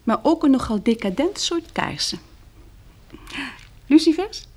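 An actress in a radio drama playing a poised, frank young woman survivor, worldly and self-mocking, in soft, intimate dialogue with a husky, breathy voice. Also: high-pitched, sing-song, a little slow. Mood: wry.

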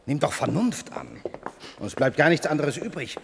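An actor playing a bold, impatient explorer in a German radio play, insistent and argumentative. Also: male voice, a little high, big pitch swings, moderate pace, vocal fry. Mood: defiant. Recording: noisy, long cavernous reverb.

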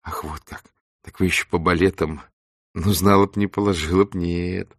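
A man voicing a shy young woman doctor, softly pleading and mildly reproachful. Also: deep voice, fairly even intonation, normal speed, no room sound, studio quality. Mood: gentle reproach. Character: gentle, shy female doctor, around thirty, mildly reproachful.

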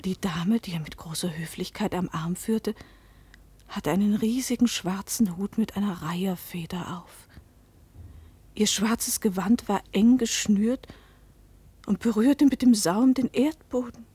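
Troubled dreamy woman, radio play, heavily breathy, hushed, introspective, measured delivery. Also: mid-range voice. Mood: uneasy, fearful. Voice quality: breathy, often dropping to a whisper. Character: troubled woman mistaken for another, guarded and dreamy. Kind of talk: German radio play narration and dialogue.

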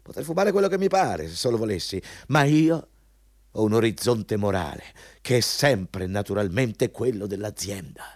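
An actor playing a domineering, hypocritical company boss in a theatrical Italian radio drama, pompous and mocking in manner. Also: male voice, lowish voice, sing-song, moderate pace, darkish tone. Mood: pompous, bossy. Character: domineering, hypocritical male company boss interviewing a job applicant.

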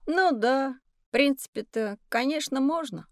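A woman voicing an excitable, adventurous schoolboy in an educational audio drama for children. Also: sits high, unhurried, no echo, studio quality. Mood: wistful, boastful. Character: excitable, adventurous schoolboy dreaming of heroic deeds.

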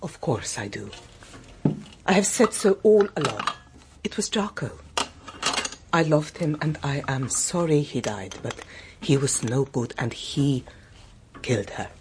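A woman's voice: rumbling low, lively intonation, a little slow, pauses often. A loyal housekeeper of long service, stern and resentful. She speaks in a curt, guarded way as in a radio drama, over a faint bed of noise. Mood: bitter, defensive.

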